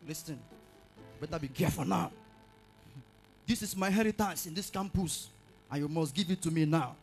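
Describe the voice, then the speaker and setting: A man's voice: on the high side, normal speed, vocal fry. A man playing a boastful young campus bully who threatens a woman.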